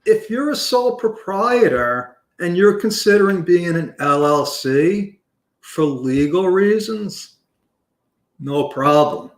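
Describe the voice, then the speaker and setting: A man hosting a business show, talking loudly and passionately with emphatic restarts. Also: mid-range voice, reverberant. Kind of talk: unscripted talk to camera.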